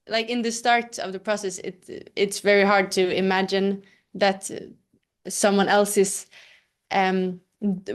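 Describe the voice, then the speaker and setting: A young actress from Sweden answering interview questions hesitantly, with many fillers. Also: female voice, fairly high pitch. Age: young adult. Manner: hesitant, lots of fillers, laughs easily. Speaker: actress guest.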